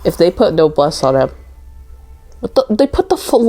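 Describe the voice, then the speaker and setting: A woman's voice: on the low side, big pitch swings, normal speed, dark tone, soft background music. A woman giving casual, off-the-cuff commentary as she streams herself playing a video game.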